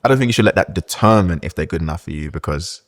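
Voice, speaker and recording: A man's voice: deep voice, lively intonation, fast talker. A young man, a guest talking candidly and hesitantly in a casual podcast chat, often restarting his sentences.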